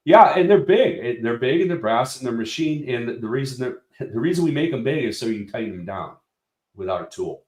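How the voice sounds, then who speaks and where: A man's voice: mid-range voice, lively intonation, a little fast, darkish tone, reverberant. A man representing a product company, explaining speaker design in a casual technical walkthrough with many fillers and restarts.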